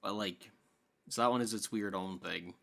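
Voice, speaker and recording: A man co-hosting a podcast, chatting informally about films and building his argument in a halting way. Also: on the low side, gravelly, sharp tone. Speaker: podcast co-host. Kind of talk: casual film discussion.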